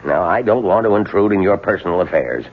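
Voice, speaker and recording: An actor playing an authoritative older law firm boss in a radio mystery, measured and persuasive with deliberate pauses. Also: male voice, sits low, lively intonation. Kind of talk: 1970s radio mystery drama acting. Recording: thin, lo-fi, hissy old radio recording.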